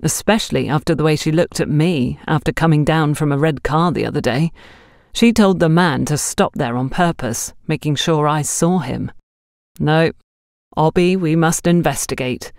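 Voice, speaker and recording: A woman's voice: deep voice, normal speed, darkish tone, no room sound, clean studio sound. A woman voicing a cocky, mischievous young male security guard in an audiobook, boastful and theatrical. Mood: gleefully vengeful.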